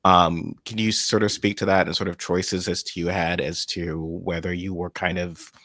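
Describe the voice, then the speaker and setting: A man's voice: low-pitched, level tone, moderate pace, fairly bright tone, no echo, clean studio sound. A man with an American accent hosting an interview, asking questions in a tentative, roundabout, conversational way. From United States. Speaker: interview host.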